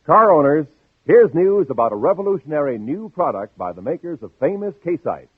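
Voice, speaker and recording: Animated American vintage radio pitchman. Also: brisk, breathy edge, no room sound, soft background music. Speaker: radio commercial announcer. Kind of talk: vintage radio advertisement, emphatic sales read. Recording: narrow, dry old radio recording.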